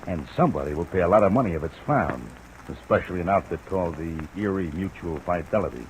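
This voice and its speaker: A man's voice: low voice, fast, poor audio. An actor playing a hesitant, folksy, down-on-his-luck private detective in an old-time radio drama. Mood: chatty, confiding. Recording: old radio recording, steady hiss.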